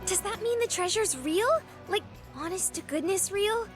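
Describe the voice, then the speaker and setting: Very high, bubbly anime girl. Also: lively intonation, moderate pace, over music. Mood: eager. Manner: cutesy, eager. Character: bubbly, naive young woman treasure hunter, sweet and excitable. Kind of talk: visual novel voice acting.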